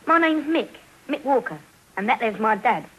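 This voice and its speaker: Woman as London street boy, Cockney, matter-of-fact, slightly high voice, vintage radio sound. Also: sing-song, normal speed, slightly rough, muffled recording. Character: streetwise working-class London warehouse boy. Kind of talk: 1950s radio drama acting. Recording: old 1950s radio broadcast.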